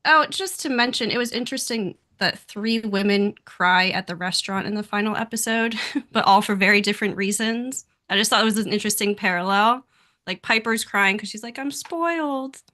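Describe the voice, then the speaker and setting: Bright-voiced woman, opinionated podcast panelist. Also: medium pitch, brisk. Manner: informal, conversational, opinionated. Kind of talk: casual TV recap discussion.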